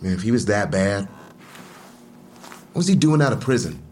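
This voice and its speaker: Defiant, animated, low-voiced suspect. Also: male voice, unhurried, slight background noise. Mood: challenging. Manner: heated, emphatic, colloquial. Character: aggrieved, defiant man questioned by police, shooting survivor. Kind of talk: full-cast audio drama acting.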